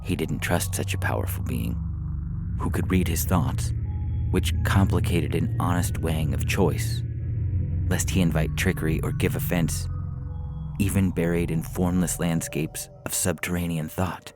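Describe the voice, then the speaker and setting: Really deep guy narrating, slow and theatrical, music playing under him. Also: fairly even intonation. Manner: theatrical, deliberate. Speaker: story narrator and podcast reader. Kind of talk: dramatic scripted narration. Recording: music behind the voice.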